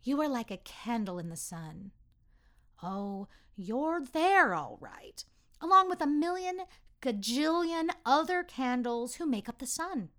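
A woman playing a kindly, wise and amused God who explains things gently and deliberately in a children's story. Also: sits high, expressive, voice pushed up. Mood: patient, explaining.

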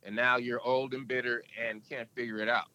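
A man talks through stories in an animated, emphatic way, with a bright, forceful voice. His pitch sits in a middle range, and he can drop it deep when he wants to. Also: a little fast, a little hiss or hum. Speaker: podcast guest. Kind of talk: casual interview talk.

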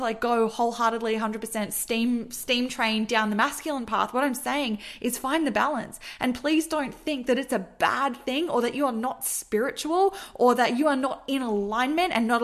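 A young adult woman hosting a solo podcast, talking earnestly and repeating herself, with many "like"s and "okay"s. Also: fairly high pitch. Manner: earnest, repetitive, filler-heavy with frequent "like". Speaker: podcast host and mentor.

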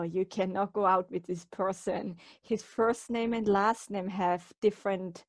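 A woman's voice: medium pitch, darkish tone, dry recording. Woman podcast host with a dry, close sound. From Switzerland. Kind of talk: conversational podcast talk.

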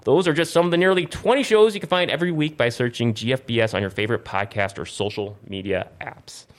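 A man with a medium-pitched voice hosts a casual gaming podcast. He rambles along informally, tripping on words and fixing them as he goes. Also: quick pace. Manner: rambling, stumbles over words and corrects himself. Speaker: podcast host. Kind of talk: casual video game talk show with plugs and sponsor reads.

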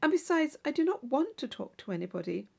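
A woman voicing a naive, eager young country gentlewoman in a classic novel audiobook, earnest and enthusiastic. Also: sits high, sing-song, fast, vocal fry, pitched above the performer's own voice, heavily acted.

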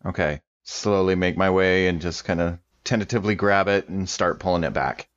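A man's voice: sits low, normal speed. A man playing a tabletop game, talking casually as he role-plays his character's actions. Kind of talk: casual role-play chat. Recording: dull, lo-fi voice track.

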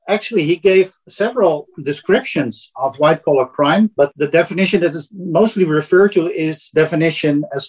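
A man with a Dutch accent speaks in a somewhat high, husky voice. He explains at length as an interview guest, thoughtful and often ending points with a questioning "eh?". He sounds middle-aged. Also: darkish tone, low-quality recording. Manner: thoughtful, with tag "eh?" and restarts. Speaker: guest professor of criminology.

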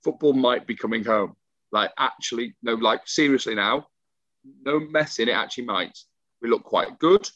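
An English man in his young adult years rants away on a podcast, animated and emphatic. His mid-pitched voice comes through a dull, slightly muffled home mic in a dry room. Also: normal speed, no echo. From England. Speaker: podcast co-host. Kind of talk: casual chat.

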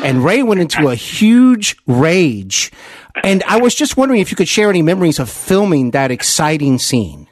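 A man hosting an interview podcast, asking questions conversationally in a deliberate, emphatic way. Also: on the high side, very animated, soft background music.

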